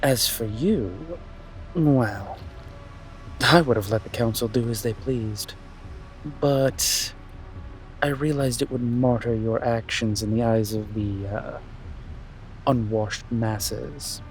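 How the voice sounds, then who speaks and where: A man playing a haughty, calculating young prince in a fantasy audio drama, speaking in a pompous, deliberate, menacing way. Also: mid-range voice, faint music behind, close to an everyday voice, low-quality recording. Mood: disdainful. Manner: pompous, deliberate, drawn-out delivery. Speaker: voice actor.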